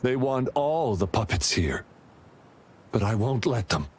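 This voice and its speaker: A man playing a gentle, desperate, protective father in a video game cutscene, earnest and tender. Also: mid-range voice, lively intonation, a little slow, breathy edge.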